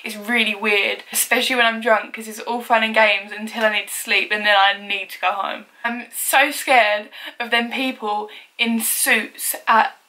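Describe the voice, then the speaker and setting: A young woman vlogger from England talks through a list of facts about herself. Her voice is naturally loud and bright, a little higher than most. She chats casually, giggles and fills her talk with "like". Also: level tone, normal speed, hardly any pauses, poor audio. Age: young adult. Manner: chatty, uses "like" constantly, giggly.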